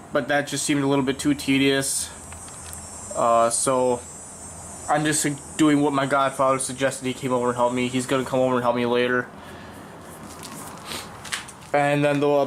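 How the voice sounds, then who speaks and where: A man's voice: fairly high pitch, a little hiss or hum. A young man with a cold, sounding congested, casually explaining his boat project in a rambling vlog full of fillers. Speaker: vlogger.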